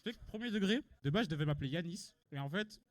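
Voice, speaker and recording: Young male host for a student live show: rapid, cheeky, slangy banter, lightish voice. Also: a little high, expressive. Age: young adult. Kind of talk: casual bantering presenting before a live audience.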